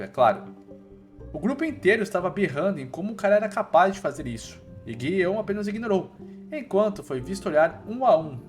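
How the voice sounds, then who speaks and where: A man with a Brazilian accent reading a scripted story recap in an informal, slangy, playful storytelling style. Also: on the high side. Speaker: narrator of comic recap videos.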